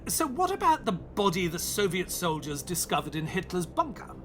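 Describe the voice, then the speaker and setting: An actor playing an earnest, curious doctor and loyal sidekick in an audio drama, polite, questioning and easily astonished. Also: male voice, high voice, very animated, moderate pace, a bit bright, a little hiss or hum. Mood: puzzled, thoughtful. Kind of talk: audio drama acting with first-person narration.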